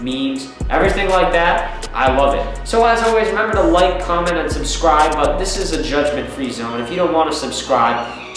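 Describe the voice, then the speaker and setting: A young man with a cold, congested and a little rough, rambling casually through a music review with many restarts. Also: a little high, a bit bright. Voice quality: slightly rough voice. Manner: rambling, repeats words and restarts, upbeat. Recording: very echoey room, music behind.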